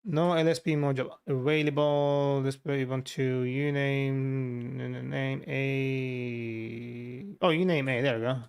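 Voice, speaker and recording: A man's voice: mid-range voice, steady tone, unhurried, vocal fry, clear, resonant tone. A man with a Turkish accent and native Turkish, chatting casually on a livestream as he works and answers viewers. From Turkey. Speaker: livestreamer, software developer.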